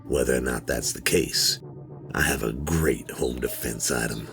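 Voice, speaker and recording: A man's voice: rumbling low, measured pace, gravelly, fairly bright tone, heavily acted. A man playing a middle-aged hobbyist who tells a first-person horror story in a folksy, rambling, conversational way. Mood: hushed, uneasy. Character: laid-back middle-aged male metal detector hobbyist recounting a strange find. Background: music.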